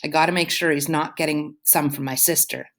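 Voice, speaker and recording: A woman reading aloud and voicing a loud, blustering teenage boy who acts as a protective older brother. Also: low-pitched, little pitch movement, a little fast. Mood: bossy.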